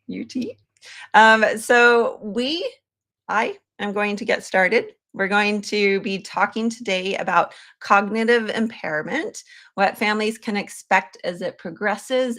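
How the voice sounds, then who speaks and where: A woman's voice: medium pitch, a bit bright. A woman hosting a livestream interview in an enthusiastic, chatty manner. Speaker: podcast host.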